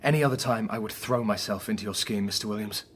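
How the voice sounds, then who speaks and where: An actor playing a weary, principled young doctor in a radio drama, speaking in an earnest, wry way. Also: male voice, fairly low pitch, fast talker, slight background noise, close to an everyday voice. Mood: resolute.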